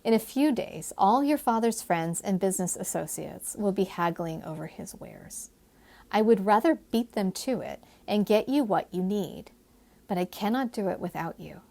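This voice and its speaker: Woman reading as a confident, teasing gentleman schemer. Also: medium pitch, expressive, normal speed, vocal fry. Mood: gentle, reassuring. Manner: persuasive, playful, formal phrasing.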